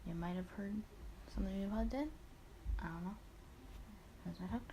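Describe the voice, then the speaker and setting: A woman's voice: mid-range voice, moderate pace, warm tone, a little hiss or hum, low-quality recording. A woman hosting a casual let's play, rambling and talking to herself in asides as she goes. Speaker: host of a gaming let's play series. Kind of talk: casual let's play, chatting and reading visual novel dialogue aloud.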